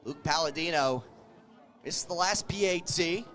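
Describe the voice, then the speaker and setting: A male sports radio commentator with a high voice delivers live football play-by-play. He follows the action with keen, excited energy. Faint music sits in the background. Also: a little slow.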